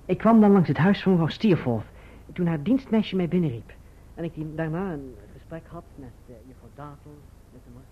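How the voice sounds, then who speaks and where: An actor playing an earnest, courteous young gentleman who also narrates his own story in a Dutch radio play. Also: fairly high pitch, a little fast, slight background noise, close to an everyday voice. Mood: careful, grave. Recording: muffled old radio recording.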